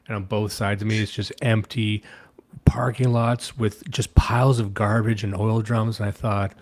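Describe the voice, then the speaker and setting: A man's voice: lowish voice, little pitch movement, moderate pace. A man co-hosting a casual livestream chat, sardonic and rambling, swearing casually. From Canada. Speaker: podcast co-host.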